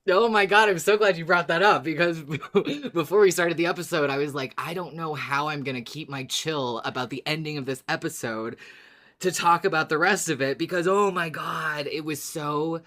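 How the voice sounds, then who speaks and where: Podcast cohost, medium pitch, dramatic and emphatic, talking thru a story like a big fan. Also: normal speed, fairly bright tone. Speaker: podcast co-host. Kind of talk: scripted recap, then animated fan discussion.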